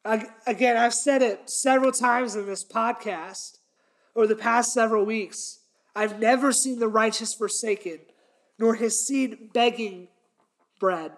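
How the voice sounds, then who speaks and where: A man's voice: extremely high pitch, fairly even intonation, a little slow. A young man hosting his podcast, teaching a Bible passage in sermon style, earnest and emphatic, repeating his key points. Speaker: podcast host and preacher. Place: small indoor room with a red wall. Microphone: fixed camera, head and shoulders framing.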